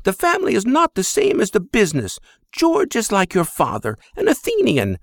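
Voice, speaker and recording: A man's voice: high-pitched, wildly swooping pitch, normal speed, fairly bright tone, no echo, studio quality, voice pushed up, exaggerated voice. Man putting on a fierce Greek immigrant mother. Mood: scolding. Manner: scolding, emphatic broken English.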